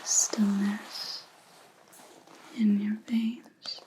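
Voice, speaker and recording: A woman playing a calm, menacing vampire hunter in an ASMR roleplay, whispering breathily and deliberately, close to the microphone. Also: medium pitch, slight background noise. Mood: quiet menace. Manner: slow, deliberate, quietly accusing.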